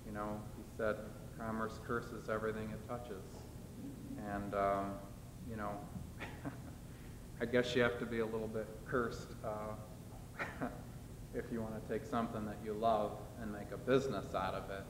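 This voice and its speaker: A man on a discussion panel talks in a low, flat voice, wandering through his point with many fillers and restarts. He is recorded in an echoey hall with a long reverb tail and steady background noise. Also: unhurried. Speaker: farmer panelist. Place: large hall, panel event.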